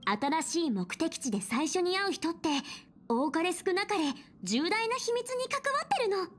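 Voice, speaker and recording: A cheeky teenage anime game heroine. Her voice is extremely high and swoops wildly, rattling along with bubbly, teasing energy. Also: big pitch swings, quick pace, faint music behind. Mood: excited, confident. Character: perky, cheeky teenage girl adventurer. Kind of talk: Japanese anime game voice acting.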